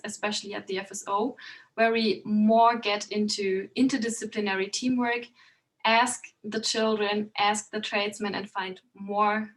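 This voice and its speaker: A woman closing her talk at an online conference, speaking in a hesitant, halting way. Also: mid-range voice, a bit bright, rough recording. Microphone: video call.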